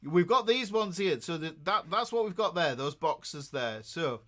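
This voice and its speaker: A man's voice: on the high side, expressive, measured pace, bright, forceful tone. A man giving an informal guitar lesson, talking conversationally and often checking in with the listener as he demonstrates. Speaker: guitar teacher. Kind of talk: informal instructional talk with demonstrations.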